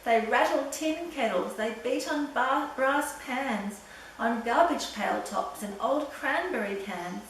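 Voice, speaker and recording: A woman's voice: fairly high pitch, slow pace. An older woman storyteller reading a children's story aloud expressively. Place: echoey room, away from the mic. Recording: roomy echo, distant mic, faint noise.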